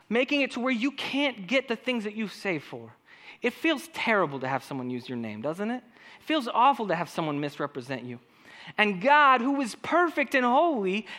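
Male pastor, naturally high voice, ringing tone, lively sermon delivery that keeps asking the room questions. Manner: animated preaching with rhetorical questions to the congregation.

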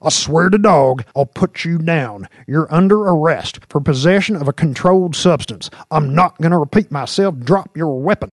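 A man voicing a big, brash, aggressive armed cop who shouts commands in an audiobook story. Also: a little high, expressive, normal speed, no echo, clean studio sound, pitched above the performer's own voice. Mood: angry, yelling.

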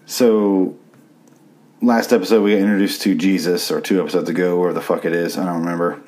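A man hosting a casual solo review podcast, a little sick with a raspy voice, crude and sarcastic as he rambles. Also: deep voice, steady tone, fast talker, darkish tone. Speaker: podcast host reviewing a TV series.

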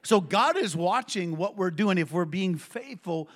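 Animated middle-aged male preacher, somewhat high. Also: a little slow. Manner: animated preaching with call-and-response. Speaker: pastor. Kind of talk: sermon with personal story.

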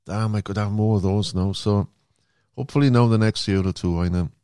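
Low-voiced podcast host. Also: male voice, a little fast, warm tone, clean tone, no room sound, clean studio sound. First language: Welsh. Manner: easygoing, conversational questions. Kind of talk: casual interview chat.

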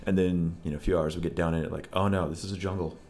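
A man with a very low, deep voice talks casually, blunt and wry. Faint background noise sits under the recording. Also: steady tone, fast, darkish tone. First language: English. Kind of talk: casual conversation.

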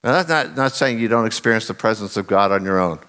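A middle-aged man preaching a sermon in an earnest way, voice a bit husky and lowered after singing loudly, leaning hard on key phrases. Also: animated, quick pace.

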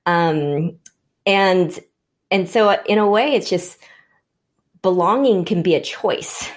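A woman's voice: fairly low pitch, slow. A woman born in Vietnam, speaking as a panel guest, reflecting aloud and restarting her sentences as she thinks. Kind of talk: conversational panel discussion.

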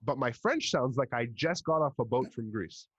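A young man with a Montreal English accent, hosting a podcast in casual chat, an animated storyteller who swears and puts on voices. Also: mid-range voice, normal speed, husky, dry recording, muffled recording. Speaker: podcast host.

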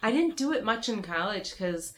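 A woman co-hosting a podcast, with a low voice and a chatty, playful way of talking, full of quick agreeing replies. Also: sing-song. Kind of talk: casual chat.

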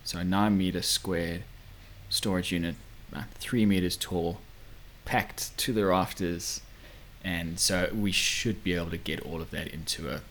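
A man in his thirties from South Africa talks casually on a podcast mic, with a voice on the lower side. He rambles, restarts his sentences and trails off as he thinks aloud. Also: steady tone, measured pace, a bit bright, slight background noise. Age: 30s. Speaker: podcast co-host. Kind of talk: casual chat. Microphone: podcast microphone.

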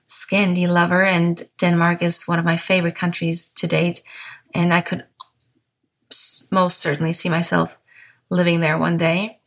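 A woman in her thirties from southern Germany hosts a calm podcast monologue in English, with a German accent. Her voice sits a little low, with a gravelly fry at the ends of phrases. She speaks softly and unhurriedly, with small restarts. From Bavaria, southern Germany. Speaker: podcast host.

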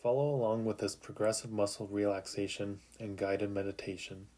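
A man narrating a guided sleep meditation in a calm, soothing, unhurried way with long pauses. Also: fairly low pitch.

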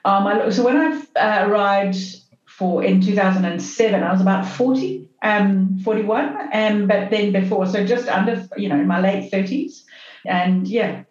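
A woman's voice: medium pitch, a little mellow. Reflective woman guest, echoey lo-fi sound. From Zimbabwe. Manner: reflective, halting with many restarts. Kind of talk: casual interview storytelling.

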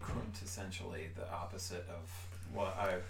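A man's voice: low voice, little pitch movement, normal speed, gravelly. A man interviewing musicians in a casual chat, rambling with lots of "like" and "um". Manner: rambling, full of "like" and "um". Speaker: podcast host interviewing musicians. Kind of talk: casual conversation. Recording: roomy, distant mic.

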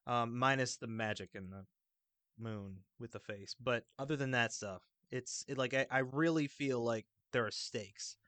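Regular guy voice, dry sound, rambling on kinda haltingly but into it, just chatting games. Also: mid-range voice, a bit bright, dry recording. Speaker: video game podcast panelist. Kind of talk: casual chat about video games.

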